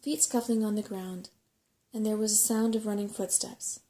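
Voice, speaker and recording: A soft-spoken woman reading a bedtime story in a gentle, unhurried ASMR style. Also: mid-range voice, steady tone. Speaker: audiobook-style reader.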